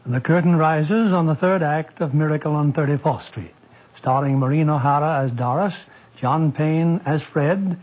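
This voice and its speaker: A man's voice: fairly high pitch, normal speed. A man hosting and narrating a 1940s radio drama in a formal, theatrical, scripted style. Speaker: host and narrator. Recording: dull 1940s radio broadcast. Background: music.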